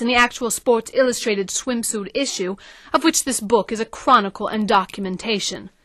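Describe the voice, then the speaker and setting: Woman playing a perky, high-voiced radio co-host. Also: fairly bright tone, dry recording, clean studio sound. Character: perky, smooth-selling female book radio co-host. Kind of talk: satirical radio host patter.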